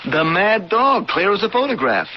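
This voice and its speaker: A hearty cop in a radio play. His voice is high for a man and very animated, with folksy, tough talk, on a muffled broadcast with faint noise. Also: normal speed. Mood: hearty, admiring. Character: hearty, tough police lieutenant, forceful and friendly. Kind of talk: radio drama acting.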